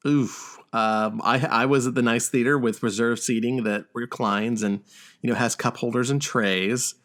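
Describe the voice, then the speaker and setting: A man's voice: medium pitch, fairly even intonation, measured pace, fairly bright tone. A man hosting a podcast, chatting casually about games and film and swearing freely. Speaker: podcast host.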